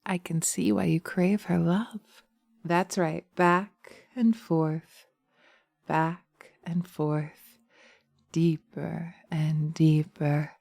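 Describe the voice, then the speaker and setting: A woman playing a teasing, sweetly sinister witch in a hypnosis roleplay, coaxing slowly and soothingly into a close binaural mic, often dropping to a whisper. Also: low-pitched, creaky, a little mellow, dry recording, exaggerated voice. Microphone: close binaural microphone.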